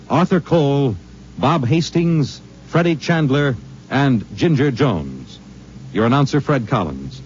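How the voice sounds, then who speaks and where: A man announcing in formal broadcast style, measured and clear as he reads the credits. The sound is dull and lo-fi, with a faint background hiss as on a 1950s radio broadcast. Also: fairly high pitch, a little mellow.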